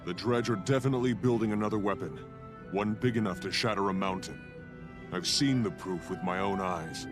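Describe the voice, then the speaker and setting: A man's voice: lowish voice, fairly quick, over music, close to an everyday voice. An actor, a man, playing an urgent, determined fantasy hero in a video game, earnest and direct. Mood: concerned.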